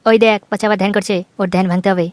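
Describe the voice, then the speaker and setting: A woman's voice: mid-range voice, fairly even intonation, very fast, dry recording, studio quality, close to an everyday voice. A woman voicing a cheeky, bossy, scheming male village friend in a comic cartoon, teasing and brash. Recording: noisy cartoon soundtrack.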